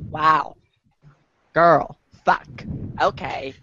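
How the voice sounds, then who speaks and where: A man's voice: on the high side, steady tone, very slow, bright, forceful tone, muffled recording, a little hiss or hum, exaggerated voice. An amateur actor, a man, playing a sassy, slangy teenage boy as a drawling valley girl, in a reader's theater recording. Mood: flippant.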